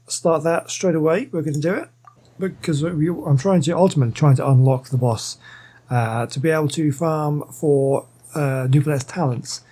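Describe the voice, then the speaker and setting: Mellow, husky man doing game commentary. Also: fairly high pitch. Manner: hesitant, thinking aloud. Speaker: game streamer. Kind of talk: let's play commentary, reading dialogue choices aloud. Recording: game music behind the voice.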